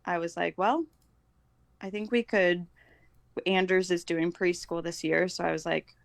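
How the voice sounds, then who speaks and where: A woman's voice: fairly low pitch, fairly even intonation, measured pace, vocal fry, dry recording. A woman speaking as a podcast guest in an informal, hesitant chat, often dropping "like" into her sentences. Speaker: podcast guest, homeschooling mother. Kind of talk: casual chat.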